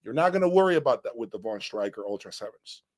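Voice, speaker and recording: A man's voice: mid-range voice, very animated, quick pace, darkish tone. A man reviewing audio gear in an informal, opinionated breakdown, speaking bluntly and emphatically and often checking in with the listener.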